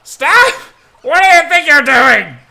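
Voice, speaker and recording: A man's voice: very high-pitched, very animated, takes it slowly, vocal fry, bright, forceful tone, pitched above the performer's own voice, heavily acted. A man voicing a naive, flustered young male bat who stammers in disbelief and embarrassment in a visual novel reading. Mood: shocked, squealing. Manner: stammering, incredulous, easily embarrassed.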